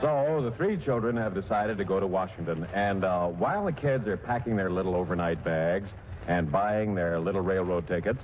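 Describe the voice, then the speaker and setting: A man's voice: sits low, lively intonation, moderate pace, music in the background. An American man with a dry, wry manner, narrating a 1940s radio comedy as host. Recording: noisy, tinny 1940s radio recording.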